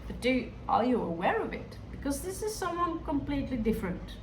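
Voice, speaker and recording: A woman's voice: high-pitched, big pitch swings, fairly quick. A woman with a Hindi-influenced accent, giving a tarot reading to her viewers in a musing, hesitant way that often addresses them directly. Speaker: tarot reader. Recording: echoey room, distant mic, background noise.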